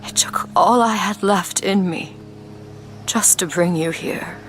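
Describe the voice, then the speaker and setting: An actress playing a frail, bitter, resigned old woman in a video game, with a breathy voice. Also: medium pitch, slow, soft background music, natural voice. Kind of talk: dramatic video game voice acting. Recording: loud eerie game score behind.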